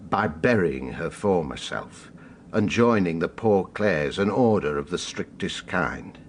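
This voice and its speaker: A compassionate older male confessor in a radio drama. His low voice is measured and solemn, with formal phrasing. Faint ambience sits behind him. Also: expressive, slight background noise. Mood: solemn, sorrowful.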